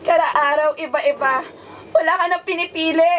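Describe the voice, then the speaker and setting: A woman's voice: very high-pitched, steady tone, normal speed, a bit bright, a little hiss or hum. A woman acting a hurt, furious betrayed wife and mother in a radio drama. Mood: tearful anger.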